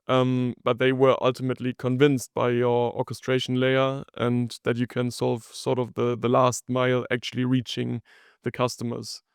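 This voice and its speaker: A man hosting an interview podcast, putting questions together piece by piece in a halting, conversational way. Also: mid-range voice, completely flat delivery, creaky, smooth, dry recording, studio quality. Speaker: podcast host.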